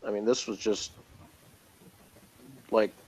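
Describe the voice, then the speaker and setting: A man's voice: fairly low pitch, flat delivery, takes it slowly. A man chatting casually as a reviewer, commenting in a dry, sardonic, joking way. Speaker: episode reviewer. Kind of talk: casual review chat, reading aloud and commenting. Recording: clearly noisy room ambience.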